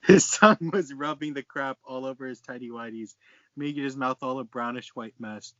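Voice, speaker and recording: A man's voice: mid-range voice, moderate pace, fairly bright tone, no room sound. A young man talking and reading casually among friends. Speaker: member of amateur reading group. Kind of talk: casual chat and read-aloud among friends. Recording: dry, muffled lo-fi voice chat.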